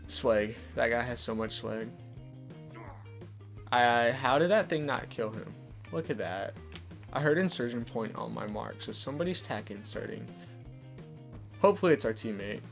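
A man's voice: normal speed, gravelly, pauses often. A young man giving casual, chatty commentary over his gameplay, explaining tips as he plays. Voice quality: deeper voice. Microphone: desk condenser mic. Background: soft background music.

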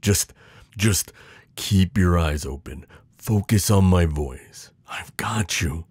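A man playing a devoted, protective knight in an intimate fantasy romance audio roleplay, urgent and pleading with hushed reassurance. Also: deep voice, takes it slowly, breathy edge, no echo, heavily acted. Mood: tender, worried. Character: devoted protective male knight, desperate, tender lover.

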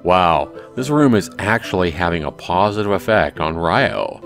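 A man's voice: low voice, animated, moderate pace, a bit bright, soft background music. A man voicing a wry, exasperated teenage schoolboy, the hero of a visual novel. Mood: musing.

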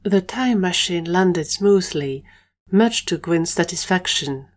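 A woman's voice: lowish voice, lively intonation, slow pace. A woman reading scripted narration for an audio adventure story.

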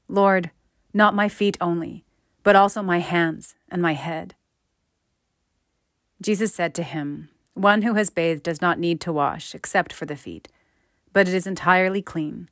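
A woman's voice: lowish voice, dry recording, clean studio sound. A woman reading scripture aloud, moving between narration and dialogue. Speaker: scripture reader.

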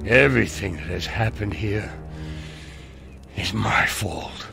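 A grave, devout old gunslinger preacher speaks in a low, gravelly voice. His words come slowly and prayerfully, as from a burdened man. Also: music in the background. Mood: remorseful, pleading. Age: older. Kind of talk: video game voice acting.

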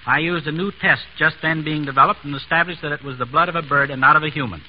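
A middle-aged man answers as an expert radio guest in formal, scripted sentences. He talks fast at a fairly high pitch on a muffled old recording. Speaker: physician criminologist, interview guest. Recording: dull, noisy vintage radio recording.

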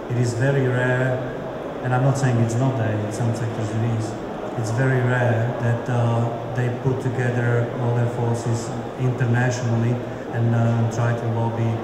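Man on a panel, flat level delivery, lots of uh fillers, echoey hall from far off, noisy. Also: medium pitch. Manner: halting, many fillers. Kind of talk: panel discussion. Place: large conference hall stage. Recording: echoey hall, distant mic, loud noise.

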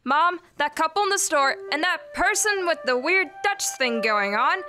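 A woman's voice: high-pitched, animated, normal speed. A teenage girl reading scripted announcements as the announcer of a radio show. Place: school library room, stage microphone. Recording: loud music under the voice. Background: loud music.

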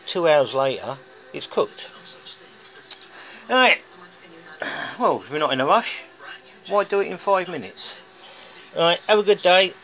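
A man's voice: on the high side, fairly bright tone. British man, casual hobbyist vlogger, chatty and rambling; phone-recorded, muffled, noisy. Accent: British English. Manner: chatty and rambling, with folksy asides. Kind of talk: casual DIY demonstration. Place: indoors, garage or workshop floor. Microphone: handheld phone filming. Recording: dull lo-fi phone audio with clear background noise.

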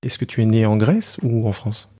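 A man's voice: fairly low pitch, animated, moderate pace, mellow, no room sound. A man hosting a coaching podcast, reading a scripted intro, then putting questions to his guest. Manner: clear, polished presenter delivery.

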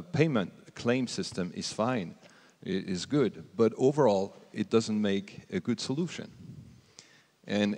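Male panelist, very deep, hesitant delivery, reverberant hall. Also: darkish tone. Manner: hesitant, many fillers. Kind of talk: conference panel discussion. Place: large hall stage.

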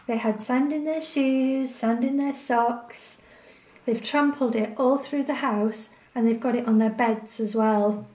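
Higher-voiced woman in an echoey room. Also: steady tone, measured pace, a little mellow. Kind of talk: playful introductory chat for a bedtime story.

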